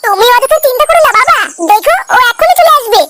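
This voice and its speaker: Young daughter-in-law, cartoon, voiced by a woman: piping, fast, attentive yet scolding, noisy track. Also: extremely high pitch, expressive. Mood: soothing. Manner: attentive to elder, sharp-tongued scolding. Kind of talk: Bengali comedy cartoon dubbing.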